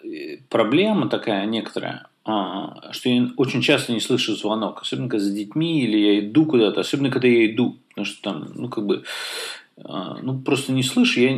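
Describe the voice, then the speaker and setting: Hesitant Russian-speaking man, tech chat. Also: lowish voice. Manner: hesitant, many fillers and restarts. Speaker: podcast co-host.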